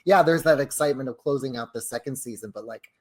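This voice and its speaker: A man's voice: medium pitch, quick pace, darkish tone. Effusive, courteous male podcast co-host. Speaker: podcast co-host putting questions to colleagues. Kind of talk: casual podcast conversation.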